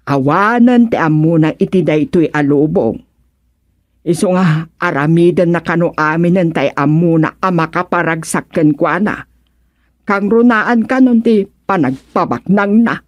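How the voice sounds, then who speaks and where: A woman acting in a Filipino radio serial as a devout, exasperated niece, with a Filipino accent and a plaintive, expressive way of talking. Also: fairly low pitch, unhurried, dry recording, highs cut off, lightly acted. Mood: dismayed, complaining. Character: devout, exasperated niece worried about a wayward uncle.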